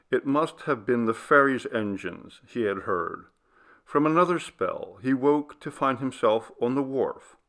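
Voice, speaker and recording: Man, slow deliberate narrator, gravelly creaky voice, scripted literary reading, medium pitch. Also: a bit bright. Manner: measured, deliberate storytelling. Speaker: audiobook reader.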